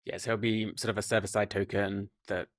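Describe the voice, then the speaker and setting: Male podcast interviewer, quick delivery, slightly low voice, informal and tentative in how questions are put. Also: little pitch movement, dry recording, studio quality. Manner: loosely phrased, hedging questions. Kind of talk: casual technical interview questions.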